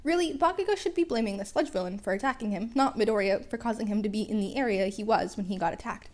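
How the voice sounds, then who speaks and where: A woman's voice: medium pitch, fast talker, darkish tone. A woman narrating a story in a casual amateur style, with wry, playful asides and stumbles over the text. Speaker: podfic reader.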